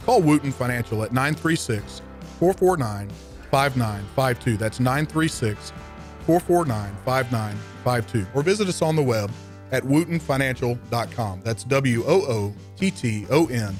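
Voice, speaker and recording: A man reading a scripted radio advertisement with measured, deliberate enunciation. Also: mid-range voice, expressive, soft background music. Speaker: advertisement announcer.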